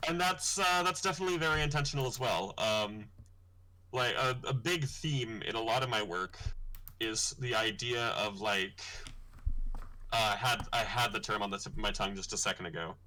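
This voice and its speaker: A man's voice: moderate pace, bright, forceful tone, rough recording. A young man, a comic artist hosting his own livestream, talking thoughtfully and rambling a little with fillers. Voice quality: deep voice. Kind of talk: casual livestream chat.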